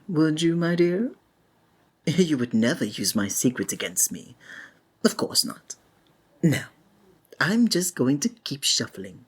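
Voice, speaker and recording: Woman doing a creepy showman magician guy for asmr, low husky voice, flowery and coaxing, slips into whispers, kinda possessive. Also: darkish tone, heavily acted. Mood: sly, conspiratorial. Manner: flowery, theatrical, honeyed, frequent drops into whisper. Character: flamboyant, sinister male stage magician, courteous, coaxing, possessive. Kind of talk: ASMR roleplay, theatrical monologue.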